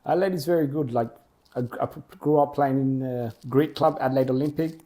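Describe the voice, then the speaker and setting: A young man, a football program co-founder, answering interview questions plainly in short, halting clauses. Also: medium pitch, warm tone. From Australia.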